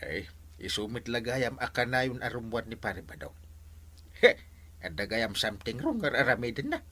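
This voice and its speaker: A man's voice: mid-range voice, very animated, normal speed, pushed and bright, breathy edge, full character voice. A man with a Filipino accent acting a nosy, overdramatic village sidekick in an Ilocano comedy drama. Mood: excited.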